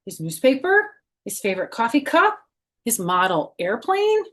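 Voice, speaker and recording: A woman voicing an eager, insistent young child in a storybook reading, with excited, drawn-out questions. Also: a little high, voice pushed up.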